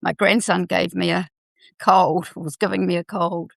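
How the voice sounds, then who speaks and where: An older woman with a New Zealand accent and a croaky voice from a cold, talking earnestly in a live online discussion about health education and restarting phrases as she goes. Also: lowish voice, little pitch movement, unhurried, no room sound.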